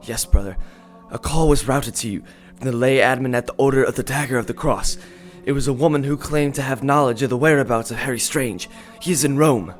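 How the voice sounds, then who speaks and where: A man's voice: medium pitch, brisk, soft background music, close to an everyday voice. An actor playing a nervous young male acolyte in an audio drama, hesitant and stammering.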